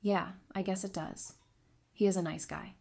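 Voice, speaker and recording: A woman's voice: mid-range voice, steady tone, normal speed, creaky, a little mellow. An actress playing a candid, dry-witted woman adviser in an audio drama, talking in a frank, conversational way. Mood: wistful. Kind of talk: fan audio drama acting.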